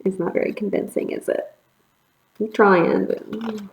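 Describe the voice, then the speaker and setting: A woman teacher reading a picture book aloud in an animated, chatty way, with casual asides about the pictures. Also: medium pitch, unhurried, vocal fry, reverberant, low-quality recording. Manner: expressive, chatty commenting on the pictures.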